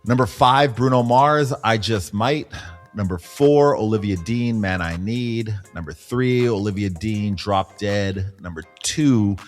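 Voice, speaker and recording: A man with a fairly low voice hosts a music podcast. He talks casually and in slang, with a joking manner. Music plays behind him. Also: measured pace. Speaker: podcast host, DJ. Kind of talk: casual group chat, reading music charts.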